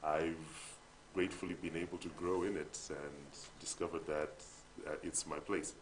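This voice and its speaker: A Zambian man in his forties, a guest on a TV breakfast show, talking in a measured way, laughing often and repeating a word as he starts a sentence. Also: extremely low pitch, fairly even intonation, low-quality recording. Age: 40s. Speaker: guest, voiceover artist and MC. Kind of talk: casual interview chat. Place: TV studio.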